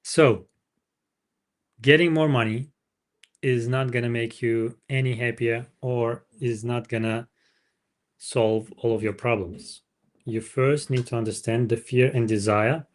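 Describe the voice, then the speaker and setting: A man's voice: medium pitch, fairly even intonation, unhurried. Man reading a book aloud. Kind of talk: book read-aloud with informal asides.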